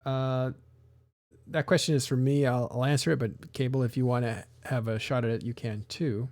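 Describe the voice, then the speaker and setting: A man's voice: medium pitch, fairly even intonation, normal speed, a little mellow, dry recording. A man hosting a casual live stream, talking in a rambling way with many restarts and fillers. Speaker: live show host.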